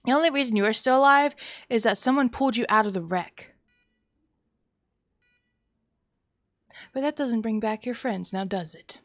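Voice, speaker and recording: A woman's voice: a little high, a little fast, no room sound, studio quality, lightly acted. Woman acting a harsh, reproachful parent. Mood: accusing. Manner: reproachful, dramatic.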